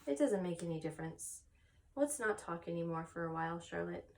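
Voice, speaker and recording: A woman voicing an innocent, anxious young male pig for a storybook, soft, pleading and childlike. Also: lowish voice, lively intonation, a little fast. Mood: sleepy, subdued.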